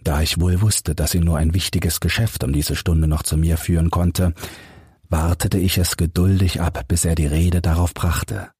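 Male audiobook narrator, low voice, strongly raspy and breathy, scripted literary reading, close dry recording. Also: level tone, normal speed, a little mellow, no room sound.

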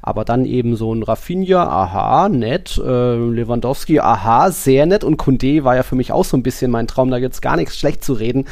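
A man's voice: mid-range voice, lively intonation, moderate pace, hardly any pauses, darkish tone, no room sound, clean studio sound. A man co-hosting a football podcast, talking in a colloquial, wry, rambling way. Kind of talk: casual podcast discussion.

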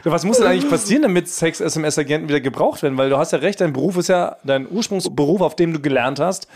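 A man's voice: on the high side, lively intonation, fast, darkish tone. A man chatting casually as a podcast host, riffing in a playful, mock-serious way. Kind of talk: casual, joking podcast chat.

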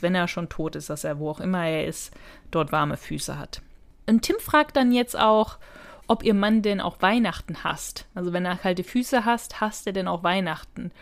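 A woman's voice: on the low side, normal speed. A woman co-hosting a podcast in casual conversation, chatty and colloquial, often giggly as she talks. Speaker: podcast co-host. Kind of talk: casual chat and plot retelling.

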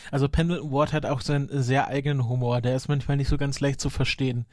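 A man's voice: mid-range voice, fairly even intonation, quick pace, no echo, clean studio sound. A man talking casually and enthusiastically as a podcast guest, rambling a little and often hesitating mid-sentence. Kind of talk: enthusiastic casual talk about animated series.

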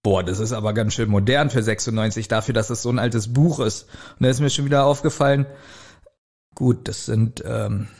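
A man's voice: medium pitch, fairly even intonation, brisk, a little mellow. A middle-aged man co-hosting a podcast, chatting in a casual, colloquial and jokey manner. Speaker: podcast co-host. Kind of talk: casual chat.

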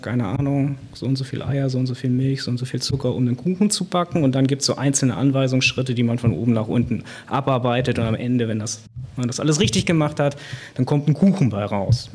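Guy explaining coding on a panel, lots of ums and restarts, everyday examples, medium pitch. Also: darkish tone, husky. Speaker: computer science guest. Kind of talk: panel discussion.

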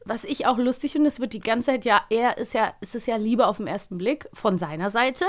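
Amused woman with a higher voice. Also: fairly quick. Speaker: podcast host. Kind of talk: casual chat, retelling audio drama plots.

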